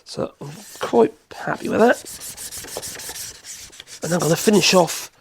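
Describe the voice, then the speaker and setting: A man with a cold, hoarse, chatting in a rambling way as he demonstrates in his workshop. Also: sits high, a little slow, a little hiss or hum. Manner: rambling, chatty, self-correcting, chuckles. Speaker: woodworking channel host.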